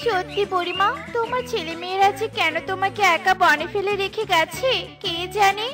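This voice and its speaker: A kind little girl bird in a children's cartoon, played by a woman, speaks in an extremely high, sweet voice. She sounds earnest and plaintive, with music behind her. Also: moderate pace. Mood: caring. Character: kind-hearted, earnest young female bird.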